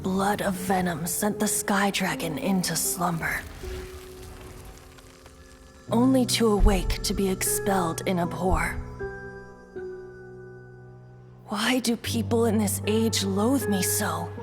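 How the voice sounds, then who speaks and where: Woman as a slow, lyrical storytelling bard. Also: medium pitch, natural voice. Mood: solemn, dramatic. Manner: lyrical, stately. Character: wistful bard reciting an old epic ballad. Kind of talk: theatrical verse recitation in a video game. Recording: prominent music under the voice. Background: loud background music.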